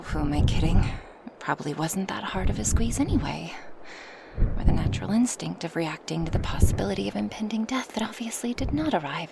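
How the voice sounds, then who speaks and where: A woman's voice: on the low side, creaky, noisy background. A woman voicing a huge, proud dragoness in an ASMR roleplay, speaking formally to her human, teasing yet protective, at times whispery. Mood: rueful. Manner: slightly formal phrasing, teasing, reassuring. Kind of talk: ASMR roleplay monologue addressed to the listener.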